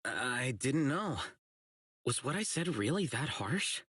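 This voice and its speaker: A man's voice: mid-range voice, sing-song, slow pace, fairly bright tone, husky, dry recording, heavily acted. An actor playing a blunt, earnest young policeman who is socially oblivious, in video game voice lines. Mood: regretful, thoughtful. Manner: blunt, earnest, literal-minded. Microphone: close studio mic, isolated dry voice track.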